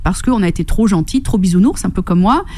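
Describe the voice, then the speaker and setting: A middle-aged woman from Corsica, a fitness coach speaking as a radio guest, enthusiastic and quick to laugh. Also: mid-range voice. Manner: enthusiastic, laughs often, animated storytelling with quoted voices. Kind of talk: radio interview. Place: radio studio.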